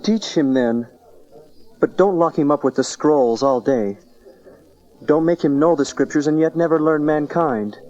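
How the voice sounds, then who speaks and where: A man's voice: fairly high pitch, a little slow, mellow, natural voice. A man playing a wise, playful and solemn rabbi in a dramatized Bible audio play, on a lo-fi cassette recording. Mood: earnest. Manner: rhetorical, playful then solemn. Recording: dull lo-fi cassette transfer.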